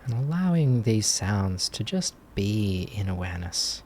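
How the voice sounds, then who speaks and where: A man leading a guided meditation as a teacher, speaking gently in short phrases with long pauses. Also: lowish voice, sing-song, slight background noise. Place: live online group session.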